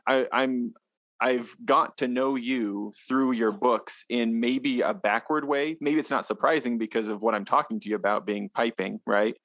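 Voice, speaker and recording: A man's voice: mid-range voice, fairly even intonation, moderate pace, fairly bright tone, no room sound, rough recording. A man from the western United States hosting a podcast, talking in a friendly, chatty way. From western United States (Utah). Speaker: podcast host. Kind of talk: casual interview chat. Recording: dry close sound.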